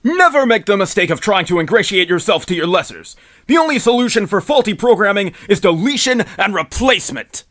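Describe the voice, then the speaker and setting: Male actor for a grandiose villain scientist: high and swooping, scornful, theatrical. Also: sing-song, fairly quick, fairly bright tone. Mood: sneering. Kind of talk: fan comic dub voice acting.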